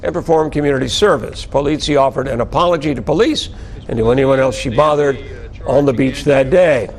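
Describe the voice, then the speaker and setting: A man's voice: medium pitch, fairly quick. An American man anchoring scripted television news with a wry delivery and dry asides.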